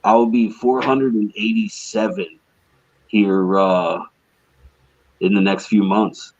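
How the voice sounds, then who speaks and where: A raspy male musician guest answering interview questions in a laid-back way, often saying "you know what I mean.". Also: fairly low pitch, level tone, takes it slowly, darkish tone, muffled recording.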